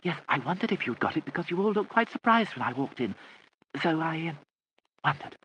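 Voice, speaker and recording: A dithering, half-deaf grand old lady read by a man drifts along in a soft, genteel murmur, pitched well above the reader's own voice. Her tone is bright and a little husky. The recording is slightly muffled. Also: very animated, brisk, heavily acted. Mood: vague. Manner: whispery, wandering, genteel. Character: vague, deaf, elderly aristocratic aunt.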